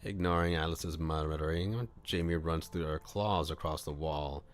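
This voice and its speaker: A man's voice: a bass voice, fairly even intonation, normal speed. A man reading a visual novel aloud on a livestream, moving between narration and casual commentary.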